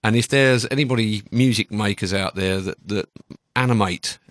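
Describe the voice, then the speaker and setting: Low-voiced man hosting casual radio. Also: moderate pace, a bit bright, no room sound. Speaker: radio show host. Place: radio studio.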